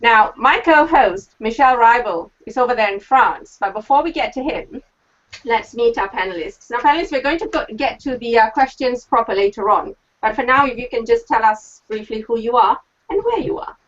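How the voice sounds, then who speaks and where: A woman hosting a live online panel, moderating briskly and cheerfully. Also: a little high, lo-fi sound. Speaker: host.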